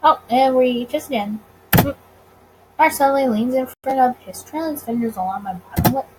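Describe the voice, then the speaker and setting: A woman reading a visual novel aloud as a let's player, expressively and with dramatic pauses. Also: high voice, noisy background. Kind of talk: expressive story reading with reactions.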